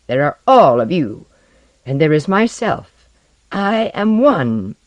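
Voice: mid-range voice, sing-song, moderate pace, no echo, pitched above the performer's own voice. Here is a reader acting out a misanthropic loner man in an audiobook story. His voice is raised and swings widely, with cutting, precise scorn and open jeering. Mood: scornful. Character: bitter, sneering misanthropic man, contemptuous loner.

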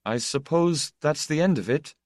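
A man's voice: on the high side, expressive, normal speed, no echo, clean studio sound, voice pushed up, natural voice. A man voicing a proud, awkward young man in an audiobook, stiff and bitterly resigned. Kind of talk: audiobook character dialogue.

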